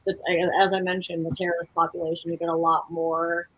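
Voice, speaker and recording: A woman chatting casually in a book discussion, speaking in a tentative, halting way. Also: on the low side, little pitch movement, rough recording. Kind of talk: casual book club chat.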